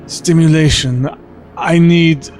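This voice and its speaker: A man's voice: a little high, steady tone, slow pace, darkish tone, faint music behind. A man playing a weakened, fatalistic non-human man who labours to speak in an audio drama. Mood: weak, straining.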